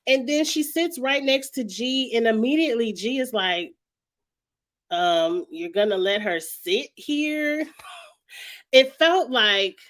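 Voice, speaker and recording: A young woman hosting a casual reality TV recap, her voice a little raspy from a cold, chatting loosely and often clearing her throat. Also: mid-range voice. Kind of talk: casual vlog chat.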